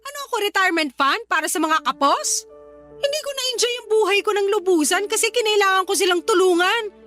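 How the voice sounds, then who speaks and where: A Filipino actress playing a resentful, defiant young woman working abroad in a radio drama, with a sharp-tongued, argumentative manner. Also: very high-pitched, animated, fairly quick, exaggerated voice. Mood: indignant, defiant. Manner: argumentative, indignant, sarcastic. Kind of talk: Tagalog radio drama acting. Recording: music behind the voice.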